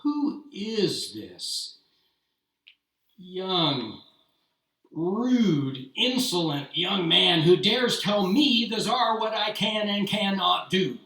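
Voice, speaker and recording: A man's voice: medium pitch, big pitch swings, a little slow, a bit bright. A man voicing a cruel, haughty tsar who talks in an imperious, mocking way. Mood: angry, imperious. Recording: roomy, distant mic.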